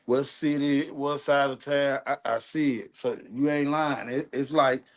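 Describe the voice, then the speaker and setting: A man's voice: mid-range voice, fairly even intonation, measured pace. A man chatting casually and telling stories in profane street slang, repeating himself and often checking that he is understood. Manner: street slang, profane, repetitive, seeks agreement. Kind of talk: casual chat, storytelling.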